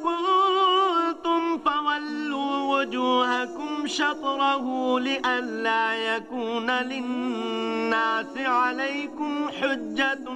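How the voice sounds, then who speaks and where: A man reciting the Quran in a melodic chant with drawn-out syllables. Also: very high-pitched, fairly even intonation, over music.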